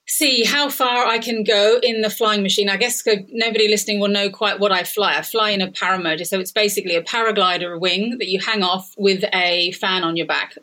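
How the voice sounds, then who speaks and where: An Australian woman speaks as a guest on a casual podcast. She talks earnestly, sometimes stopping to restart a thought, with a voice of middling pitch. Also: a bit bright. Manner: earnest, slightly halting with restarts. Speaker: guest, adventurer.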